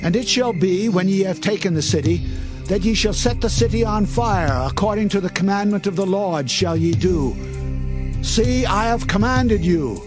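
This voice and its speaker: An actor playing a solemn, authoritative Israelite leader in a dramatized Bible reading, measured and earnest. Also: male voice, sits high, animated. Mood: commanding. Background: loud background music.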